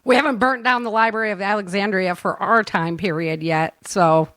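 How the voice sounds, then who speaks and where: A woman's voice: mid-range voice, steady tone, moderate pace, fairly bright tone, no room sound. A woman hosting a casual live chat show, talking informally with plenty of everyday slang. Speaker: show host and producer.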